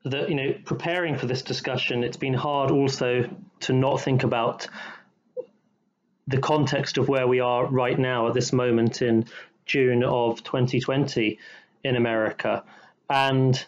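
A man speaks with measured, thoughtful care, drawing out his key points in a podcast discussion as its rabbi co-host. His mid-range voice comes through a slightly dull, muffled recording. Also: medium pitch, steady tone. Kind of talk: reflective conversational discussion.

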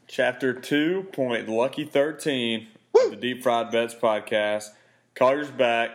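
A man hosting a casual betting podcast, speaking in a laid-back, rambling way with a raspy voice. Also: mid-range voice, slow pace. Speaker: podcast host.

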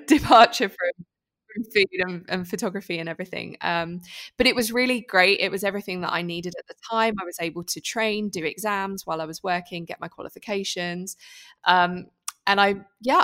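A woman's voice: mid-range voice, fairly bright tone. A British woman in her twenties, a guest on an interview podcast, telling her story in a chatty way with lots of "um" and "kind of". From United Kingdom. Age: 20s. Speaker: guest, food photographer. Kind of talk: casual interview chat.

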